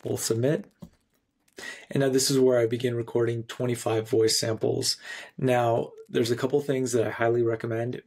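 A man in his thirties narrating a screencast tutorial in an instructional, clearly phrased way. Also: medium pitch, darkish tone. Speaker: software tutorial presenter.

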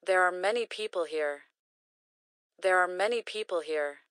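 A woman reading short English phrases slowly and carefully, each one repeated for learners to practice. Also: on the low side, fairly bright tone, no room sound, studio quality. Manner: careful, clearly enunciated. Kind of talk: slow repeated phrase drills.

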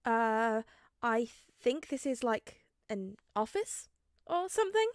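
A woman voicing an excitable, cheeky teenage boy vlogger who speaks in breathless, stammering exclamations. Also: fairly high pitch, slow pace, no echo, clean studio sound. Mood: excited.

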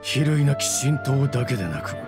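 A man's voice: medium pitch, sing-song, moderate pace, natural voice. A man voicing a proud, bitter spirit of a cursed sword in a Japanese video game, speaking with haughty menace. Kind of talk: dramatic Japanese game voice acting. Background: music.

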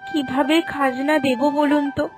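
A woman's voice: high voice, little pitch movement, moderate pace, faint music behind, lightly acted. A woman voicing an anxious, pious poor farmer's wife in a cartoon folk tale, with a plaintive, imploring manner. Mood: pleading. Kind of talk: Bengali animated folk-tale acting.

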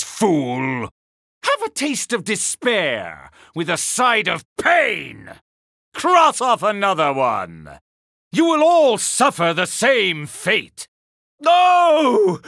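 A man's voice: sits high, gravelly, sharp tone, dry recording, studio quality, full character voice. A male actor voicing a sneering, theatrical villainous tactician in video game battle lines, haughty and mocking, with a drawling delivery. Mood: menacing, then cruel, then gleeful.

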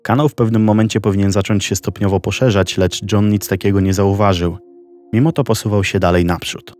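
A man narrating a documentary story in a slow, measured scripted style. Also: fairly low pitch, steady tone. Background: soft background music.

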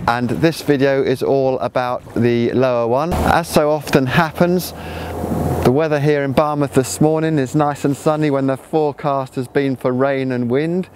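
A middle-aged man hosting a walking video tour of holiday flats, chatty and friendly as he shows rooms. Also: medium pitch, moderate pace, a little hiss or hum. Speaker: property video host. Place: seaside quay outdoors, then rooms of a flat.

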